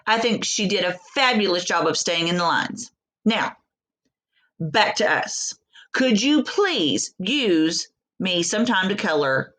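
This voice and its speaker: Woman as a blunt, slow, miffed crayon. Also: lowish voice, big pitch swings, a bit bright, full character voice.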